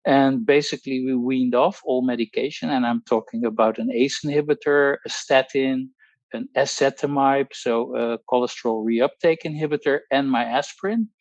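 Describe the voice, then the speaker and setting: A Dutch man in his sixties, speaking English as a second language, tells his own story as a guest on a video call. He talks with frequent pauses and restarts and uses technical wording. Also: mid-range voice, fairly even intonation, a little mellow, no echo, dull audio. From Netherlands. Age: 60s. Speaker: guest, pharmacologist. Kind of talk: personal testimony on a group call.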